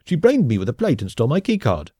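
A man's voice: medium pitch, huge pitch swings, fast, mellow, breathy edge, no room sound, clean studio sound, voice pushed up. A man voicing a flustered middle-aged scientist in an audiobook, an agitated and deferential underling. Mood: agitated, indignant. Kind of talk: audiobook character acting.

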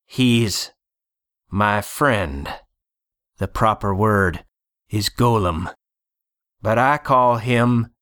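A man acts out a wounded sorcerer doctor for an audiobook. The voice is raspy and strained, and the words come slowly and gravely. He sounds bitter and grimly defiant. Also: fairly low pitch, fairly bright tone, dry recording, studio quality. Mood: grim, pained.

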